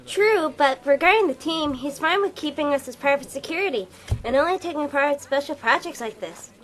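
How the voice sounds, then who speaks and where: A woman's voice: high voice, expressive, a little fast, a bit bright. A woman playing an enthusiastic young security team member in an audio drama, perky and playful. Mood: upbeat, playful.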